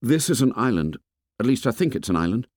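A man's voice: mid-range voice, sing-song, fast, darkish tone, dry recording, studio quality, lightly acted. A man voicing an offhand, aloof fair-haired schoolboy.

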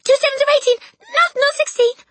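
A shrieking, terrified little schoolboy. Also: female voice, brisk, fairly bright tone, no room sound. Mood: panicked. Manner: high-pitched squealing, gasping. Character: small, terrified, squealing schoolboy, desperate and stammering. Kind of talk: audiobook character voice.

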